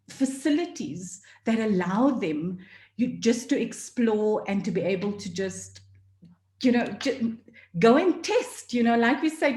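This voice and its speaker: A woman's voice: on the high side, a little mellow. Earnest woman speaking in an echoey room. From South Africa. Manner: earnest, many fillers, emotional at times. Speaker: forum speaker. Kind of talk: passionate talk. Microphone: video call.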